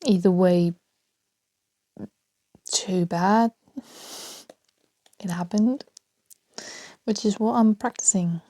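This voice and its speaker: A woman with a Danish accent talks unhurriedly through her unscripted podcast, letting her voice sink into a breathy half-whisper again and again. Her tone is mellow and low-key. The sound is close and dry, with no room around it. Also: very slow, long pauses, no room sound. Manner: rambling, soft, often half-whispered, laughs between thoughts. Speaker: podcast host. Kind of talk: unscripted solo podcast rambling.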